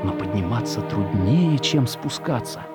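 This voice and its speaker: A man narrating a story for an audio production, expressive and dramatic, with deliberate pauses. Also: lowish voice. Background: music.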